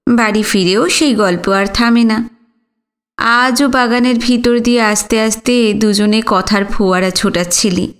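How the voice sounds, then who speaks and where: A woman's voice: on the high side, a little slow, lightly acted. A young elder sister played by a woman reader in an audiobook story. She is playful and teasing, flusters easily, and speaks without hurry. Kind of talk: audiobook character dialogue.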